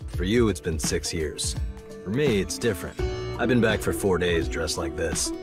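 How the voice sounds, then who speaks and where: A man playing a gruff, sardonic middle-aged detective in a dubbed video game, with a low voice and a dry, deadpan manner. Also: moderate pace. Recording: music behind the voice.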